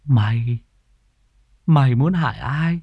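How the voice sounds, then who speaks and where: A man's voice: medium pitch, slow pace, husky, dry recording, highs cut off, pitched above the performer's own voice. A man voicing a ragged, crazed old sorcerer in an audio horror story, rambling and repeating himself with a cackling manner. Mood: manic, boastful. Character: ragged crazed old male sorcerer, menacing then whimpering.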